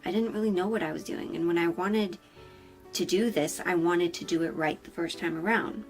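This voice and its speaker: A woman's voice: fairly low pitch, moderate pace, faint music behind. A woman chatting casually as she hosts a sewing vlog, thinking aloud in a rambling, self-deprecating way. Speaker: video host and hobby historical seamstress.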